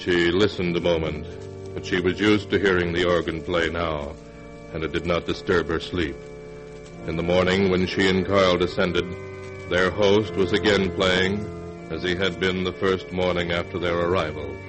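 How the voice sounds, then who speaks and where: An actor playing an ominous narrator of horror tales, speaking solemnly and dramatically in 1940s radio style. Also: male voice, sits low, flat delivery, normal speed, lightly acted, poor audio. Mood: eerie. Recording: old 1940s radio recording. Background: music.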